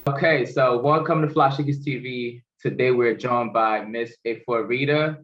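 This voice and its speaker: A man's voice: mid-range voice, normal speed, fairly bright tone. A man hosting an interview, upbeat and casual, asking follow-up questions with easy fillers. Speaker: interview host. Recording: echoey, dull-sounding room.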